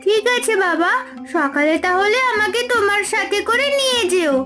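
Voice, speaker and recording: Woman playing an earnest, very high-voiced little girl. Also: animated, normal speed, loud music behind the voice. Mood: earnest insisting. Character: earnest little village girl, devoted daughter. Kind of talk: Bengali cartoon voice acting.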